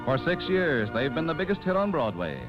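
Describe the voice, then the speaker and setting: A man narrating as announcer in scripted 1940s radio style, with dramatic, deliberate storytelling. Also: on the high side, very animated, dull audio. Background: music.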